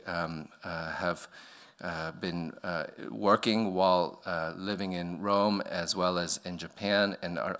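A middle-aged man with a very low, bright-edged voice lectures at a podium mic in a darkened auditorium. He speaks with frequent pauses, ums and restarts as he walks through his slides. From born in Vietnam, raised in the US. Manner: hesitant, many fillers and restarts. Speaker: architect giving a talk. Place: dark auditorium stage at a podium.

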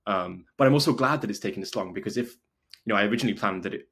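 A man's voice: lowish voice, very fast, gravelly, a bit bright, breathy edge. A man from North Wales chatting informally as an interview guest, often dropping "like" into his sentences. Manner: informal, frequent "like" as filler. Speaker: podcast guest, video maker and documentary filmmaker. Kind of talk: casual interview chat.

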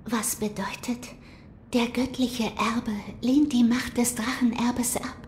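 Solemn, hushed shrine maiden. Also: female voice, fairly high pitch, flat delivery, takes it slowly, a little hiss or hum. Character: solemn, gentle mystical shrine maiden of dragon blood. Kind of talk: audio drama acting.

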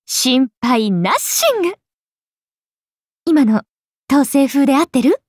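High-voiced, breezy oni goddess. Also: female voice, very animated, slow pace, darkish tone, no room sound, clean studio sound, heavily acted. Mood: breezy, teasing. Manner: chatty, teasing, laid-back slangy speech. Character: breezy, friendly, casual grown serpent-goddess oni woman. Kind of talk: game character dialogue.

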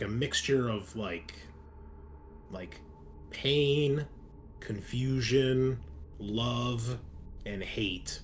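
Low-voiced male game master, noisy recording. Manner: conversational, halting with frequent 'like'. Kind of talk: narrating a tabletop role-playing game.

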